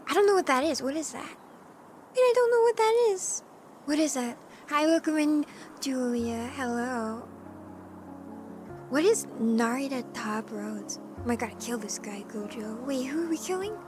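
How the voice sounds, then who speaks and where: Hoarse, chatty woman streamer. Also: high voice, lively intonation, a little slow, background noise. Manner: chatty and playful, often clearing her throat; cat persona with cat puns. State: hoarse from allergies. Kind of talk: casual chat with live chat. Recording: music playing in the background.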